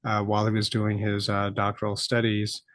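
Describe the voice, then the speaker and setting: A middle-aged American man hosting a solo podcast, talking reflectively and thinking aloud with many pauses and fillers. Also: on the low side, completely flat delivery, no echo, clean studio sound. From United States. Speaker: podcast host.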